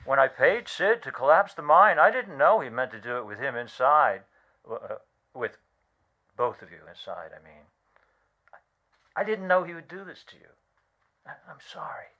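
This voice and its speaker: Earnest, animated actor as a regretful conspirator. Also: male voice, a little high, dull audio. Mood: apologetic. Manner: earnest, halting, dramatic. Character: regretful, earnest male conspirator justifying himself. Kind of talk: radio play acting.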